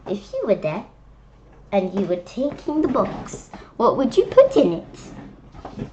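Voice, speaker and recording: A woman's voice: medium pitch, huge pitch swings, slow, lo-fi sound, background noise. A woman reading a children's book aloud, adding chatty, playful asides and speaking directly to young listeners.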